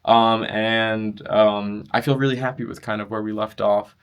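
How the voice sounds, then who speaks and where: A young man in a conversational interview, explaining his loudspeaker design work earnestly and in detail. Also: lowish voice, level tone, moderate pace, clear, resonant tone. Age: young adult. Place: demo room at an audio show.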